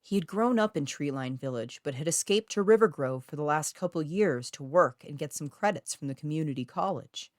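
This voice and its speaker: A woman with a low-pitched voice narrates an eerie short story of her own. The reading is scripted, and the sound is dry with very little room in it. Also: animated, a little fast, few pauses, dry recording.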